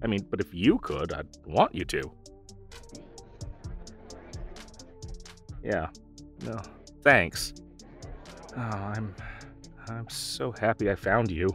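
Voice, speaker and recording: A young man playing a dorky, nervous, protective gamer best friend in an audio roleplay, with halting, awkward delivery. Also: on the low side, animated, vocal fry, fairly bright tone, soft background music, full character voice. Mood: earnest, relieved. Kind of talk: audio roleplay drama, conversational.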